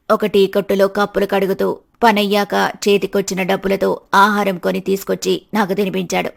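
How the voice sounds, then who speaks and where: A woman plays an older village mother in a family storybook tale. The voice is dropped low and has a creaky grain. She talks in a homely, earnest, plain-spoken way.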